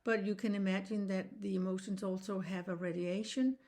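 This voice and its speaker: A Danish woman in her sixties, a reflexology teacher speaking English as a second language, explaining in an earnest, halting way with frequent restarts. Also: mid-range voice, steady tone, darkish tone. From Denmark. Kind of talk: informal online lesson.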